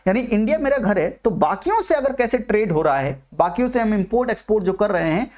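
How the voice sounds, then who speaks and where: A man's voice: high-pitched, animated, fast, a little mellow. A male teacher delivers an exam-prep economics lecture for a video. He restates each idea several times and drops English terms into the explanation. He keeps stopping to ask whether the listener is following. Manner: repeats points and asks listeners questions; mixes English terms into talk.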